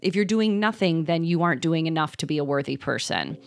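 A woman co-hosting a parenting podcast, talking in a thoughtful, rambling way with many pauses and ums. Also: low-pitched, lively intonation, gravelly. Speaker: podcast co-host. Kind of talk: casual chat.

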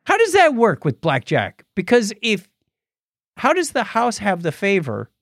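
Lively higher-pitched man co-hosting a podcast. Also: unhurried, dry recording, studio quality. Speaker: podcast co-host. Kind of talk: casual chat and news commentary.

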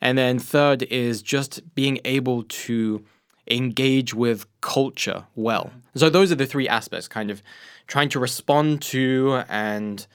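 Young english guy, church youth worker, smooth clear voice, dry close studio sound. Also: mid-range voice, slow pace, no room sound. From England. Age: young adult. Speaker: guest, student ministries assistant. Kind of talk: casual conversation. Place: radio studio.

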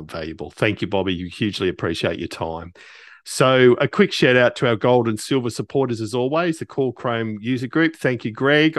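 A man's voice: fairly low pitch, no room sound. A man hosting a podcast in a casual, appreciative, conversational way. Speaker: podcast host.

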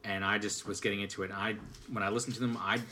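Male panelist, forties: bright, fairly low voice, halting casual anecdotes, slightly noisy. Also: level tone. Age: 40s. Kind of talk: casual chat.

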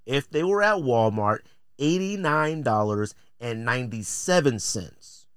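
Animated American man, blunt podcast host. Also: mid-range voice, a little slow, clear, resonant tone, dry recording, studio quality. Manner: slangy, blunt, joking, theatrical delivery. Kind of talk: casual opinionated podcast commentary.

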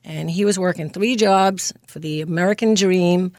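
A woman in her fifties from Greece, a nurse practitioner telling her story as an interview guest, earnest and quick to laugh. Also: fairly low pitch, a little mellow, no room sound, clean studio sound. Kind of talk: casual interview.

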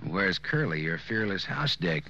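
A man's voice: deep voice, big pitch swings, a little slow, slight background noise, full character voice. A man playing a hard-boiled, wisecracking private detective who narrates his story in 1940s radio noir style. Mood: sardonic, tough. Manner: sardonic, slangy, wisecracking. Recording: dull old-time radio recording, loud music.

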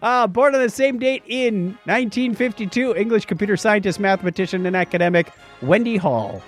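A man's voice: high-pitched, expressive, fairly quick, soft background music. A man co-hosting a podcast, bantering casually and reading out items with wry, joking asides. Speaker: podcast co-host.

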